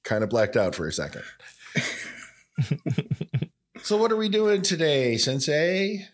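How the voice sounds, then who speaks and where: A man's voice: on the high side, wildly swooping pitch, normal speed. A man with a cold, sounding stuffed up, hosting a trivia podcast in a jokey, hammy way. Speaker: podcast host. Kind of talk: playful casual chat.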